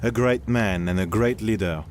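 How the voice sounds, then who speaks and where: Courteous gentleman acted by a man. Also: lowish voice, normal speed, a little hiss or hum, lightly acted. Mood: tactful, hesitant. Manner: polite, formal, deferential. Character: courteous Frenchman, gracious host of the 1700s. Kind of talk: audio drama acting.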